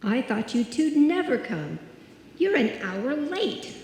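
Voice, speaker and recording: A woman acting a nervous, fretful lady in a radio thriller. Her delivery is high and measured, full of dramatic worry, echoing through a slightly noisy hall. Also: very animated, a little mellow, full character voice. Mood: fretful, alarmed. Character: anxious, fretful woman friend, easily alarmed. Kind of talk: old time radio suspense acting. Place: live stage performance in a hall. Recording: very echoey, noisy live hall.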